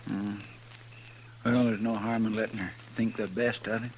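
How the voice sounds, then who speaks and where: A man's voice: lowish voice, little pitch movement, moderate pace, close to an everyday voice. An American man playing a folksy, loyal deputy sidekick to a marshal in a 1950s radio western, with a deferential manner. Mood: concerned, agreeable. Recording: noisy, clicking 1950s radio recording.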